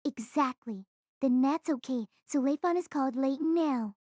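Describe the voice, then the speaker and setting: A woman's voice: sits high, moderate pace, a little mellow, no echo, clean studio sound, close to an everyday voice. A woman playing a chatty, bossy, cheerful teenage schoolgirl in an anime-style audio drama, perky and teasing. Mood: breezy.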